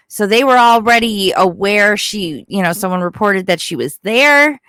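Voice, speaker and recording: Woman, mid-pitched, true crime commentator, informal, opinionated, blunt, dry room sound. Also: expressive, unhurried, fairly bright tone, dry recording. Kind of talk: casual opinionated news commentary.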